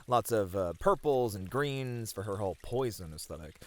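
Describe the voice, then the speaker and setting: A man's voice: on the low side, animated, moderate pace, lightly acted. A man playing a friendly, slightly flustered gaming tournament manager in an ASMR roleplay, chatting casually with some hesitation. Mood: flustered, amused. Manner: casual, chatty, hesitant filler-laden banter.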